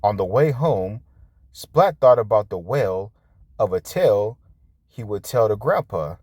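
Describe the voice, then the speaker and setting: A man reading a children's story aloud with an expressive, drawn-out delivery. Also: lowish voice, no echo, clean studio sound. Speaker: storybook reader, host.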